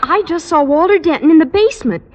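Dramatic, earnest schoolgirl, vintage radio sitcom. Very high voice, dull and noisy old recording. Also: animated, fast, over a noisy crowd. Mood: worried. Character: earnest, urgent teenage schoolgirl, principal's daughter. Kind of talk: 1950s radio comedy acting.

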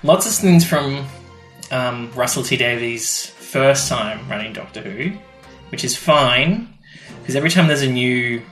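A man's voice: mid-range voice, unhurried, a bit bright, faint music behind. A man co-hosting a podcast in casual chat, explaining things with a fan's enthusiasm. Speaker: podcast co-host. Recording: echoey room.

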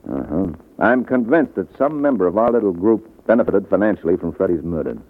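An actor playing a wry, self-assured private detective with an American accent, glib and slangy in an old radio drama. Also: male voice, sits low, expressive. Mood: cool, teasing. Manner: glib, slangy, unhurried reasoning. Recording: muffled narrow-band old radio recording.

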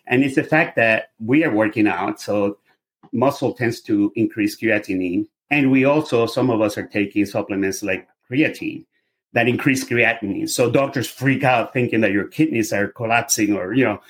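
A middle-aged man from Venezuela speaks with a Venezuelan accent and a husky, slightly low voice. He explains things in long run-on sentences and often restarts them, in a relaxed interview. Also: normal speed, low-quality recording. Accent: Venezuelan Spanish. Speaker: guest, lab testing business owner. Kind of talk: casual podcast interview.

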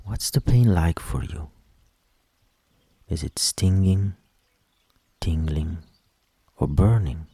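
A man leading a guided meditation in a soft, soothing voice with gentle instructions that trail into whispers. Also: low voice, animated, husky, no echo. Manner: slow, gentle instructions with long pauses.

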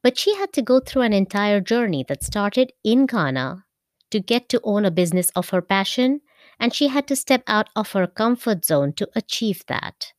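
A woman hosting a podcast interview in an even, mid-pitched voice. She paces her introductions with care and slows down on the words she stresses. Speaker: podcast host.

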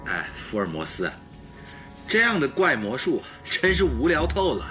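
An actor playing an impatient, grumbling companion of a detective in a radio drama, blunt and exclamatory. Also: male voice, medium pitch, wildly swooping pitch, normal speed, pushed and bright, soft background music.

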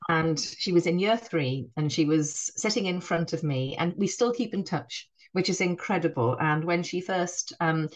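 Woman, middle-aged, Northeast English accent, lowish pitch, reflective, restarts sentences. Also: normal speed, hardly any pauses, low-quality recording. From Northeast England. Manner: warm, reflective, frequent restarts. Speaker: head teacher, guest. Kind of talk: conversational podcast interview.

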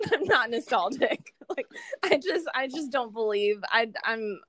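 A woman's voice: a little high, animated, unhurried, fairly bright tone, no echo. A young American woman chatting casually as a podcast host, laughing often and poking fun at herself. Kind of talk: casual chat.

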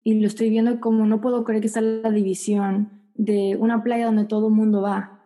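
A woman's voice: mid-range voice, level tone, moderate pace, mellow. A young woman singer from the Tijuana–San Diego border, answering interview questions in a thoughtful, rambling way with bits of English. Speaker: singer, guest.

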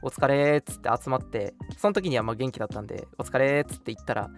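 A man hosting a radio show in casual chat, talking in an animated, chatty way with a co-host. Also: mid-range voice, fast, faint music behind.

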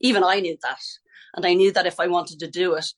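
A woman's voice: lowish voice, fairly even intonation, fast talker. A middle-aged Irish woman, a ballet teacher, chatting in a podcast interview, talking freely, restarting sentences and laughing easily. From Ireland.

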